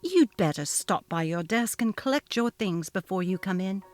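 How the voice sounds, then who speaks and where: A woman's voice: medium pitch, lively intonation, moderate pace, no echo, soft background music, lightly acted. A woman voicing a haughty, narcissistic female office manager who talks down to her staff, in a dramatically read story. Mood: cold, accusing. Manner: haughty, cutting, demanding. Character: snobby, imperious, narcissistic female office manager, cold and bullying. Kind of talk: dramatic reading of a text-message story.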